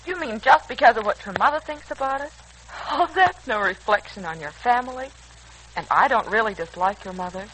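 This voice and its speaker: A woman's voice: on the high side, animated, brisk, a bit bright, rough recording. An actress playing a poised, wry, analytical young woman in a 1940s radio serial. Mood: wry, composed. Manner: wry, composed. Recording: old radio recording, faint noise.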